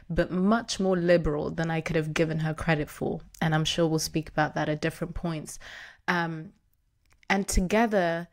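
A young woman from Zimbabwe, a guest in an interview, telling her family story in a warm, conversational way with easy laughter. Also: fairly low pitch.

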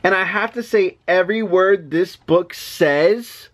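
An exasperated reader stuck with a silly children's storybook, acted out by a man. The delivery is playful and reluctant, full of mock protest, with words slowly stretched out for effect. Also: sits high, a bit bright, slight background noise. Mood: baffled, protesting. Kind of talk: animated children's storybook reading.

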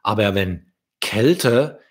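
A man in his thirties reading a story aloud to young children, gently addressing the listener directly. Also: lowish voice, lively intonation, very slow. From Germany. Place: small room with wood-panelled wall. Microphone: desk microphone with pop filter, close.

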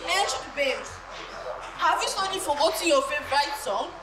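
A woman's voice: sits high, animated, a little fast. A woman with a Nigerian accent playing a pious mother who reproaches her son in a live stage play. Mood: reproachful. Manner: reproachful, preachy, singing snatches. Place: church hall stage. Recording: echoey hall, noisy.

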